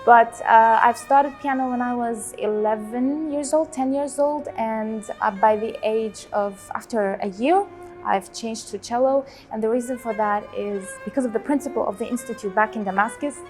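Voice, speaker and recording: A woman in her twenties, born in Syria, a cellist and teacher speaking in a relaxed interview, with many pauses and fillers. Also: on the high side. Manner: hesitant, many fillers, warm about music. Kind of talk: relaxed personal interview answers.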